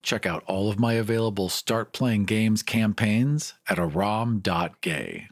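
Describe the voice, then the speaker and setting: A man's voice: on the low side, animated, measured pace. A man hosting a podcast, reading scripted announcements and promos. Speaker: podcast host and producer. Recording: music under the voice.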